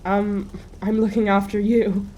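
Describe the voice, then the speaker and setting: A woman's voice: mid-range voice, flat delivery, measured pace, mellow, slight background noise, close to an everyday voice, poor audio. A woman playing a brave, eager young superhero daughter in a radio play, urgent and plucky.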